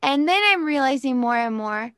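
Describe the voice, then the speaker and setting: A woman's voice: high voice, lively intonation, moderate pace, a bit bright, clear, resonant tone, no room sound, studio quality. An American woman in her thirties co-hosting a podcast in casual chat, with a self-deprecating, wryly exaggerating manner. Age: 30s. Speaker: podcast co-host.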